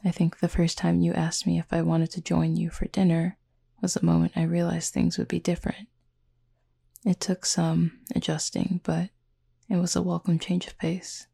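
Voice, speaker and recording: A woman playing a reserved, tender cupid roommate in an intimate audio roleplay, speaking formally and gently wry in a breathy, husky voice. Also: fairly low pitch, completely flat delivery, moderate pace, exaggerated voice. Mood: sincere, reflective. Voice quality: husky, breathy, often near whisper.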